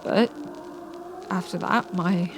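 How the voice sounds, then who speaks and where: A woman narrating a scary story in a measured manner with dramatic pauses. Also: lowish voice, creaky. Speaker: narrator reading submitted scary stories. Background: music.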